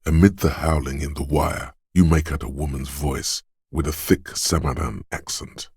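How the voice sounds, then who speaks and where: A brooding inner voice of the senses for a game, slow and hushed as it lingers on smell, touch and sound. The voice sits extremely low, with a husky rasp. It is recorded close and dry in a studio booth. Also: male voice, steady tone, no room sound, exaggerated voice. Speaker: voice of a game's inner perception skill. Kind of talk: second-person game narration.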